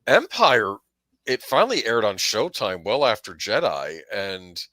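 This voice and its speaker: A man's voice: on the low side, very animated, measured pace, sharp tone, dry recording. A middle-aged man reminiscing casually as a panel guest.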